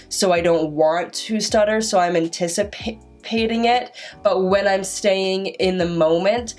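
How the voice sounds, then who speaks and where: A young woman in her twenties vlogging to camera, talking openly and stuttering with repeated sounds and restarted words. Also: fairly low pitch. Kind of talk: casual vlog talk to camera.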